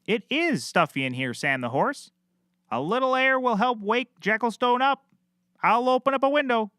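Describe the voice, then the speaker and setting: A man playing a bumbling, oblivious, excitable private detective in a scripted audio comedy, melodramatic and cheerfully clueless. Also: extremely high pitch, moderate pace, a little hiss or hum, exaggerated voice.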